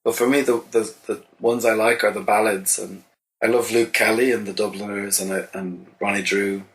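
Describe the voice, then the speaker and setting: An Irish songwriter answering interview questions in a thoughtful, halting way, with many restarts. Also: male voice, on the low side, steady tone, a bit bright, poor audio. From Ireland. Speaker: songwriter guest.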